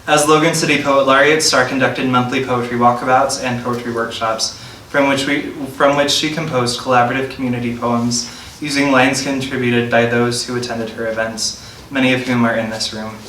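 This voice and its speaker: A man's voice: medium pitch, fairly even intonation, moderate pace, pushed and bright. A man acting as host, reading a scripted introduction of a featured guest aloud. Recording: roomy, distant mic, faint noise.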